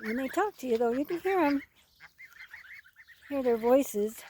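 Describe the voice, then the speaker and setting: Casting: woman, slightly high voice, folksy rambling farm vlogger, casual delivery, light outdoor noise with birds behind her. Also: animated, measured pace, darkish tone. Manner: folksy, rambling, repeats phrases. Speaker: farm owner filming own geese and ducks. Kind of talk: casual outdoor vlog narration. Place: outdoors on a rural farm, under a tree. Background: geese and bird calls, outdoor noise.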